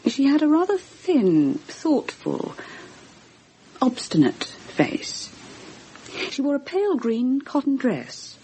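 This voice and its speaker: A woman's voice: high voice, huge pitch swings, slow, mellow, a little hiss or hum, full character voice, low-quality recording. An actress playing an inquisitive, sensitive, genteel woman in a radio drama, speaking in articulate, earnest, literary phrases. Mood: insistent. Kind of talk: radio drama narration and dialogue.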